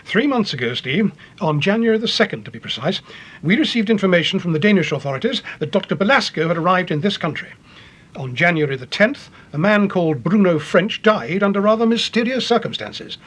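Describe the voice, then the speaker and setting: An actor playing an earnest, authoritative British police chief in a radio detective drama, grave and deliberate in manner. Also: male voice, high-pitched, animated, fairly bright tone.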